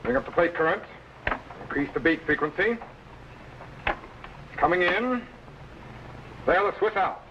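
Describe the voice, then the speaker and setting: An actor playing a commanding, exacting time-machine captain in an old-time sci-fi serial, giving clipped, authoritative orders. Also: male voice, high-pitched, lively intonation, a little slow, pauses often. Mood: brisk, commanding. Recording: noisy old broadcast recording.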